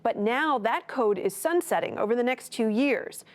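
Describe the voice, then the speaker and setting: A woman correspondent reading a scripted news report in a measured, clear way, then introducing a guest. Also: fairly high pitch, lively intonation. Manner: measured, clear newsreader delivery.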